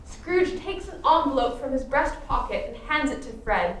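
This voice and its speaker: A woman's voice: sits high, animated, normal speed, a bit bright. A woman narrating a scripted Christmas radio play as its storyteller. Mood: lively storytelling. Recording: distant echoey stage, faint noise.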